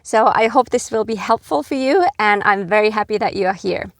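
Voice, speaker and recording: A woman's voice: medium pitch, a little fast, vocal fry, no echo. Earnest woman from Finland, dry sound. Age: middle-aged. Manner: earnest, gentle, with frequent fillers. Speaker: expat vlogger. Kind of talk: advice talk to camera.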